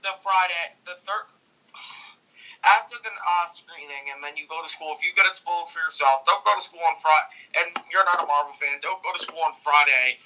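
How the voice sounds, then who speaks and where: Very bright, higher-pitched young guy. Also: moderate pace, low-quality recording. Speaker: movie review co-host. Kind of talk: casual chat.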